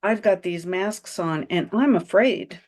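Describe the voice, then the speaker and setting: Earnest woman with a lower voice. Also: a little slow, darkish tone. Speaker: podcast co-host and mother. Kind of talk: candid conversational chat.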